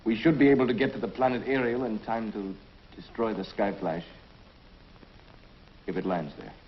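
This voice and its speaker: A man's voice: on the low side, moderate pace, slight background noise, natural voice. An actor playing an urgent, insistent male officer in a 1950s TV drama, on a muffled old soundtrack.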